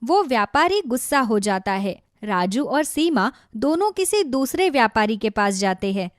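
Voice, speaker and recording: A woman's voice: a little high, big pitch swings, moderate pace, no room sound, clean studio sound. A woman giving scripted narration for a cartoon moral story.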